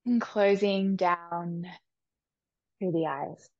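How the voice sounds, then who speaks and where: A woman leading a guided meditation with soft, soothing instructions. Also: on the low side, no room sound, highs cut off. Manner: soft, slow, soothing instructions with long pauses.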